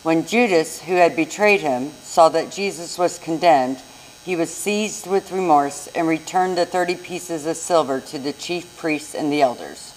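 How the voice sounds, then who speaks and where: A woman's voice: low voice, a little slow, a little mellow, slight background noise, poor audio. An American woman reading a scripture narrative aloud as narrator in a live church recording. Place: church service.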